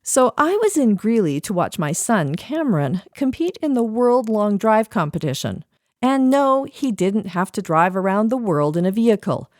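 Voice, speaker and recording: A woman's voice: medium pitch, darkish tone, no echo, clean studio sound. A middle-aged woman presenting a scripted podcast segment, narrating with wry asides.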